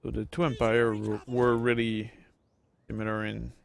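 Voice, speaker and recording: A man's voice: medium pitch, completely flat delivery, normal speed, vocal fry. A middle-aged francophone man with a French Canadian accent, rambling casually with many fillers while commenting on a strategy game. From Quebec, Canada. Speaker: gameplay streamer.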